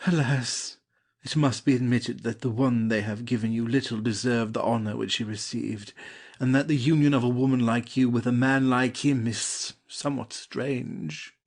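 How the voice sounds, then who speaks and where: An actor playing a lovelorn young gentleman suitor in a classic comedy audio play, courtly and plaintive. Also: mid-range voice, steady tone, normal speed, natural voice. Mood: flattering.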